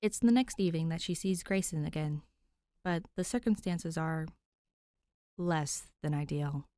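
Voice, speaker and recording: A woman's voice: low-pitched, unhurried, warm tone, no echo, studio quality. A woman reading a scripted story aloud as an audiobook narrator. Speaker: podfic narrator.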